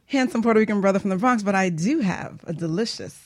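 A woman's voice: mid-range voice, quick pace, a little mellow, dry recording, studio quality. A woman hosting a radio talk show in a casual, playful, informal way. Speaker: radio show host and business coach. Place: radio studio.

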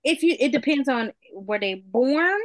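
Woman host, high bright voice, dry close sound, blunt and teasing. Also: expressive, a little slow, no echo. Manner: leads topics, teasing and blunt. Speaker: podcast host. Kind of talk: casual group chat.

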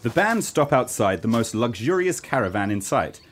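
A man's voice: mid-range voice, sing-song, normal speed, slight background noise. A man narrating a scripted comedy radio play in a mock-serious storytelling manner.

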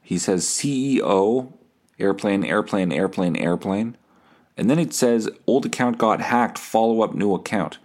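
A man's voice: lowish voice, unhurried, a little mellow. A man hosting a podcast, telling a story casually and comedically, foul-mouthed and self-deprecating, reading out message exchanges as dialogue. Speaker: podcast host.

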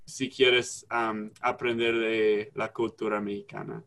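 A man speaking in a slow, hesitant way and searching for his words, in a casual podcast chat. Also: lowish voice, flat delivery, vocal fry, a bit bright.

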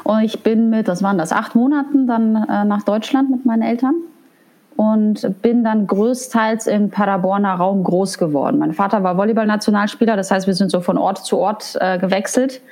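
A middle-aged woman from Poland speaks as an interview guest. She is frank and chatty, full of restarts and filler sounds, at a middling pitch. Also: steady tone, moderate pace, darkish tone. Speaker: guest, head of a transit authority. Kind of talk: casual interview talk.

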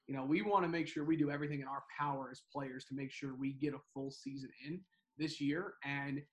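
A man's voice: mid-range voice, steady tone, a little fast. A young man co-hosting a casual sports podcast, rambling with many fillers and restarts. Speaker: baseball broadcaster, co-host.

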